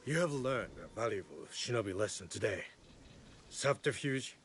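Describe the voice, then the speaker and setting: A man playing a stern, composed shinobi clan leader in a video game, speaking in a measured, formal, instructive way. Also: on the low side, lively intonation, a bit bright. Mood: calm, instructive. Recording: clear background ambient noise.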